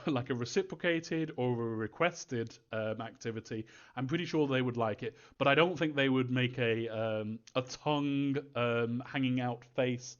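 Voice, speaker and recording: A man answering fan questions in an informal live Q&A, thinking aloud in a halting, rambling way, as a developer. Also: medium pitch, muffled recording.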